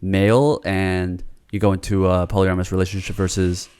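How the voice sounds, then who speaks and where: A man from South Korea hosting an interview, speaking in a measured, thoughtful way and often closing points with "right?". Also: low-pitched, little pitch movement, a little mellow, smooth. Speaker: podcast host. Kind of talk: interviewer asking questions.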